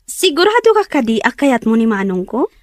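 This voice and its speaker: A woman's voice: a little high, sing-song, brisk, a little mellow, dry recording, clean studio sound. A woman acting a friendly, inquisitive younger sister from a village, in an Ilocano radio drama with a Filipino accent. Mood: curious.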